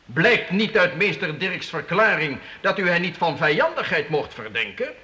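An imperious, animated medieval count. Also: male voice, sits high, moderate pace, long reverb tail, full character voice. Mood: measured, conciliatory. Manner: stately, commanding, formal royal we. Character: imperious but fair-minded medieval count holding court. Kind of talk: Dutch historical radio play acting. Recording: faintly noisy old radio play.

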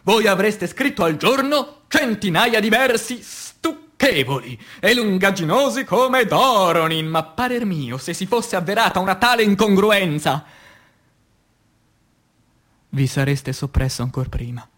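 Slow, high-voiced man declaiming poetry. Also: lively intonation, a bit bright. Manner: declamatory, solemn, with drawn-out phrases and long pauses. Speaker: actor reciting poetry. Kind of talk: dramatic theatrical poetry recitation for radio theatre.

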